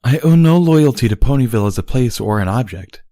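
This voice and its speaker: A storybook pony princess, voiced by a man, speaks well above the reader's natural pitch. She is formal and dutiful, arguing her case in measured, stilted phrases. The sound is dry. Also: animated, dark tone, no room sound, close to an everyday voice. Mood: earnest. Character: formal, dutiful, argumentative immortal pony princess, younger sister. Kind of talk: dramatised storybook reading.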